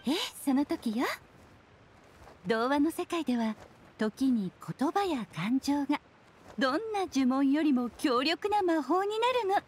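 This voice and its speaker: Playful witch, high and lilting. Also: animated, darkish tone. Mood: serene explaining. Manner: teasing, unhurried, coy. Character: playful, mysterious, self-assured witch, creator of a fairy-tale world. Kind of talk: anime video game voice acting.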